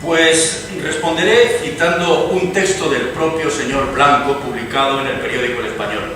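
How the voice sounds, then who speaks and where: A man playing an eloquent, earnest Spanish liberal gentleman in a dramatized reading, measured and courteous. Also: mid-range voice, lightly acted. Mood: solemn. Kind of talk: dramatized stage reading, radio theatre. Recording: echoey hall from far off, noisy.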